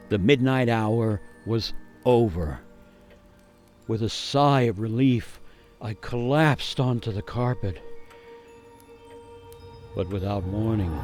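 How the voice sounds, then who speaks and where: An actor playing a haunted gingerbread cookie who tells a grim cautionary tale in a suspenseful, theatrical radio monologue with deliberate pauses. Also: male voice, fairly low pitch, a little mellow. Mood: hushed suspense. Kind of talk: dramatic monologue for radio storytelling.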